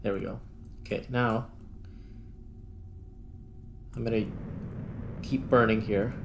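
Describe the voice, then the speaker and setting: A man's voice: on the low side, steady tone, takes it slowly. A man hosting a gaming channel, giving casual gameplay commentary and thinking aloud as he plays. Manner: thinks aloud while playing. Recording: clear background noise.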